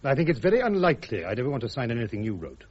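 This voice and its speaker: Radio drama casting: proud, embittered disgraced major, husky, curt and sardonic, muffled sound. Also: male voice, medium pitch, sing-song, very fast. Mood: sardonic, firm. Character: bitter, proud, court-martialled army major.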